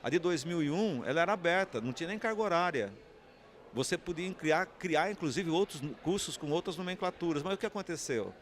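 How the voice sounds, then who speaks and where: A man's voice: on the high side, lively intonation, brisk. A man with a Brazilian Portuguese accent giving an unscripted interview answer, explaining and often posing rhetorical questions. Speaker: interviewee, communications academic.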